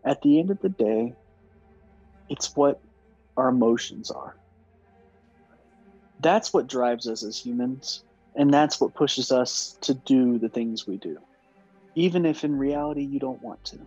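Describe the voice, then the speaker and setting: A man's voice: medium pitch, measured pace, pauses often, a little mellow, breathy edge, faint music behind. A man co-hosting a casual podcast, speaking emphatically with lots of fillers. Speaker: podcast co-host. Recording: dull lo-fi audio.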